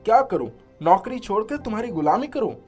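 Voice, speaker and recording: A woman's voice: mid-range voice, sing-song, brisk, a little mellow, faint music behind, natural voice. A woman playing a blunt working-class young husband in a dramatized moral story, speaking emphatically. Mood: annoyed.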